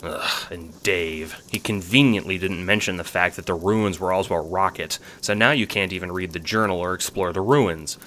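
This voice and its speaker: A man with a very low-pitched voice narrates an audio drama in the second person. He reads from a script, and faint noise and ambience sit behind the voice. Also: moderate pace, hardly any pauses, a bit bright.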